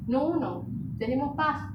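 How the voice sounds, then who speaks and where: A woman's voice: high voice, animated, measured pace, lo-fi sound. A woman preaching a sermon, exhorting her listeners earnestly with rhetorical questions and short check-in tags. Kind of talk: sermon to a congregation. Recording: echoey room, distant mic, background noise.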